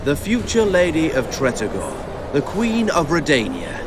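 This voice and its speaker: A man playing an imperious, calculating king in a fantasy game, speaking in a regal, commanding, deliberate way. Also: on the high side, wildly swooping pitch, heavy background noise. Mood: smug, regal. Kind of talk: dramatic game acting.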